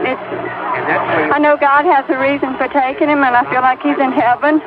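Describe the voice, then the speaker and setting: A woman interviewed as a mourner, speaking spontaneously, earnest and halting. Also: sits high, little pitch movement, over a noisy crowd. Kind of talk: spontaneous vox pop. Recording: very noisy narrow-band street radio clip.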